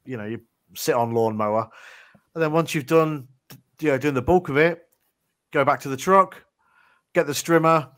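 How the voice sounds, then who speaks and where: A man's voice: a little high, normal speed, long pauses. A man, co-hosting a podcast over a video call, chatting loosely and swearing as he rambles. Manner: rambling, swears, animated retellings. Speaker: podcast co-host. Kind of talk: casual chat. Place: home room with shelves.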